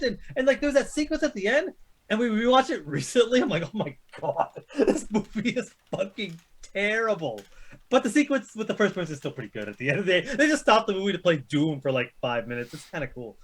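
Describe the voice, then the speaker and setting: A man co-hosting a movie discussion, giving blunt, emphatic, sweary opinions in casual chat. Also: high voice. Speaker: co-host of a movie discussion show.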